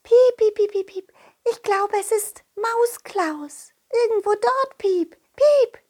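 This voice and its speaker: A woman voicing tiny, excitable, playful mice with a thin, squeaky voice that peeps between phrases in a children's audio play. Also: slow pace. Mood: urgent, helpful. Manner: peppers talk with peeping noises.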